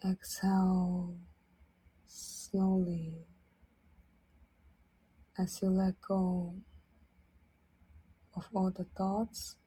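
A woman leading a guided sleep meditation in a soft, breathy, partly whispered voice, gently soothing, speaking in short phrases with long pauses. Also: mid-range voice, monotone, rough recording. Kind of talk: guided sleep meditation, slow instructional narration.

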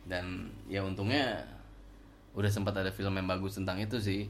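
A man co-hosting a casual podcast about films, relaxed and hesitant, often trailing off with fillers. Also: low voice, normal speed, slight background noise. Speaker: podcast co-host. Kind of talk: casual film chat.

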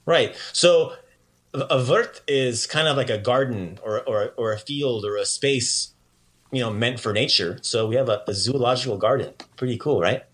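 A man's voice: mid-range voice, moderate pace, fairly bright tone. A man hosting a podcast language lesson in a casual, playful and encouraging way. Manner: encouraging, praising the learner often.

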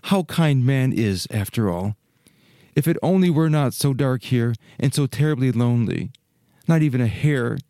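A man's voice: medium pitch, animated, normal speed, mellow, no room sound, clean studio sound, close to an everyday voice. A man voicing a naive, vain and wistful young fir tree in a children's storybook, musing aloud. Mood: wistful, lonely. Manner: musing aloud in rhetorical questions. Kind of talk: dramatized children's storybook reading.

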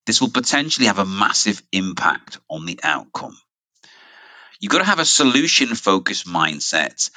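Male podcast host: motivational and emphatic, with a low voice and lots of pitch movement. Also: sharp tone, no echo. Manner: upbeat, emphatic, coaching tone. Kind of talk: solo motivational podcast talk.

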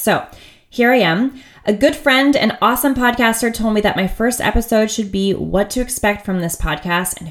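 A woman in her thirties from the United States hosting her own podcast, talking in a chatty, upbeat and candid way with frequent laughs. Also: mid-range voice. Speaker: podcast host. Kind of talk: casual solo podcast monologue.

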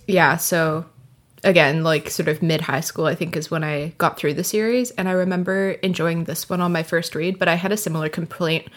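A young woman co-hosts a casual podcast, talking in a relaxed, chatty way with plenty of "like" and "uh". Her voice sits a little low for a woman. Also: a little fast. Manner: chatty, laughs often, filler words. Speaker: podcast co-host. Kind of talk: casual chat and book synopsis.

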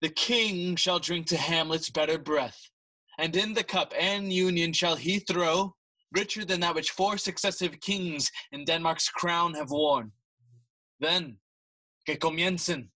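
A man's voice: a little high, a little slow, bright, forceful tone, poor audio. A stately, scheming king. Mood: commanding. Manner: stately, commanding, switching between English and Spanish. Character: scheming middle-aged king, formal and commanding. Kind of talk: classical stage verse in audio drama.

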